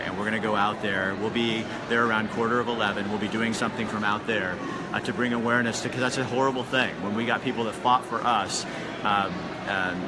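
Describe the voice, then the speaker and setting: A man hosting a live interview outdoors, speaking in an earnest, chatty, somewhat preachy way. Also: on the low side. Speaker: livestream host. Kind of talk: casual live interview and appeal. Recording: loud outdoor traffic noise.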